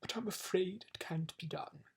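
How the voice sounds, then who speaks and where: A woman's voice: fairly low pitch, moderate pace, creaky, darkish tone, husky, heavily acted. A woman voicing a weary, formal storybook king who is wistful and easily outraged. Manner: formal, proclaiming.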